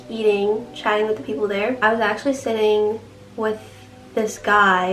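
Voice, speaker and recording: A sixteen-year-old girl chattily telling a personal story with many fillers. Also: fairly high pitch. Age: teens. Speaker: interviewee. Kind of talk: personal testimony. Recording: distant, echoey with faint music.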